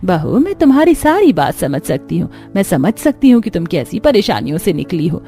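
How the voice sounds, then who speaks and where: Woman voicing a gentle, reassuring mother-in-law. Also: on the low side, very animated, moderate pace, soft background music. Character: kind, patient, reassuring middle-aged mother-in-law. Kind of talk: cartoon story dialogue.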